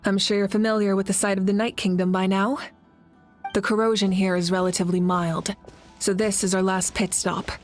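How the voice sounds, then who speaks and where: A woman playing a commanding warrior leader in a video game, solemn and rallying, speaking with measured, dignified oratory. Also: medium pitch, little pitch movement, faint music behind, natural voice. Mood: grave.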